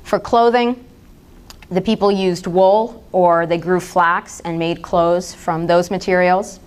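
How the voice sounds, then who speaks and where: A woman's voice: fairly low pitch, steady tone, takes it slowly, clear, resonant tone. A middle-aged woman from the United States giving a public lecture in an impassioned, direct way. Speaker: speaker.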